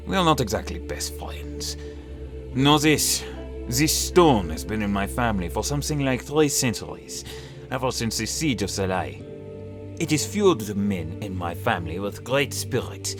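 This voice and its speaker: A man playing a proud, menacing military commander in an audio drama, speaking in a deliberate, probing way. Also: on the low side, sing-song, over music. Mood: brooding.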